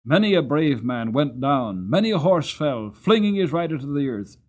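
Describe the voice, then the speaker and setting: A man voicing an old war horse in a storybook, mournful and proud, with solemn, measured, emotive delivery. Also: fairly high pitch, big pitch swings, darkish tone. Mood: urgent, intense. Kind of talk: dramatic audiobook reading.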